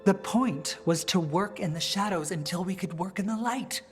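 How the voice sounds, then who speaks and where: A woman playing a principled female politician and rebel leader in a fantasy video game, measured, firm and earnest. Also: fairly low pitch, soft background music, close to an everyday voice. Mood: firm, reproachful. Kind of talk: dramatic video game dialogue acting.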